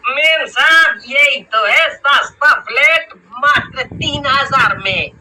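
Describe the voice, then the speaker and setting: A man voicing an obsequious, deferential salesman in an animated horror story. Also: extremely high pitch, slow, slight background noise, pitched above the performer's own voice, heavily acted, low-quality recording. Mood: eager, servile. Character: obsequious male house agent, smooth salesman.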